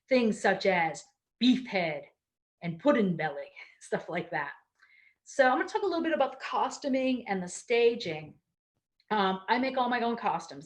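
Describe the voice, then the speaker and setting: Middle-aged woman from Connecticut, Connecticut accent, chatty talk with frequent ums and restarts, speaking at a medium pitch. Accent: Connecticut, with a trace of Baltimore. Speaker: performer and storyteller giving a talk. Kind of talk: casual explanatory talk.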